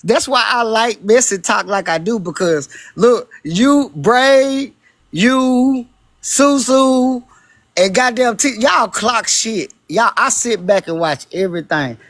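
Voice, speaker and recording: A man with a naturally high-pitched voice talking as a guest on a live stream, emphatic and blunt, repeating his points and swearing freely. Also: takes it slowly, a bit bright, no room sound. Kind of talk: heated casual chat.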